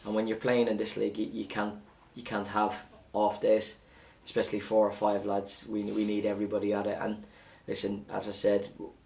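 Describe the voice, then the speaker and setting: Male football coach, low gravelly voice, matter-of-fact post-match reaction, slightly off-mic. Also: monotone, brisk, darkish tone, far from the mic.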